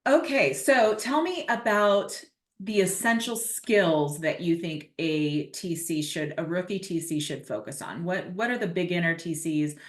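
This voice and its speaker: A woman hosting a podcast interview, asking questions and encouraging her guest with steady affirmations, often restarting her sentences. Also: on the low side, a little slow. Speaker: podcast host. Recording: echoey room.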